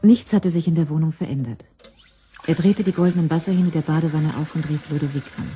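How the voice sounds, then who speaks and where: An actress as the sober, measured narrator of a German crime radio drama. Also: female voice, deep voice, warm tone, soft background music, natural voice.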